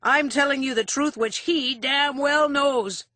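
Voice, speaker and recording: A woman's voice: high voice, a little slow, no room sound, voice pushed up. A woman acting out a shrieking, bitter drunken old man. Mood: shrieking rage. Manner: cranky, argumentative, shouting. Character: belligerent drunken older man, enraged and bitter. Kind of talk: audiobook character acting.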